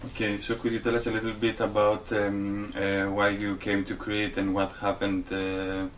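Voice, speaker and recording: A man interviewing a guest, asking hesitant questions with frequent fillers, with a gravelly voice. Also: fairly low pitch, monotone, normal speed, fairly bright tone, slight background noise. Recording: distant mic.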